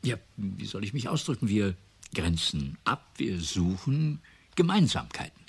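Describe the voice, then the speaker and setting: Earnest researcher guy in a radio play, really husky and low, hesitant, lively intonation. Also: unhurried, exaggerated voice. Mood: puzzled, earnest. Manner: hesitant, repeating words, polite. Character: earnest, puzzled male parapsychology researcher. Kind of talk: radio drama dialogue.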